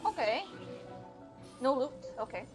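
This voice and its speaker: High-voiced woman streaming a game. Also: big pitch swings, a little slow. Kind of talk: casual live gameplay commentary. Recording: loud game music behind.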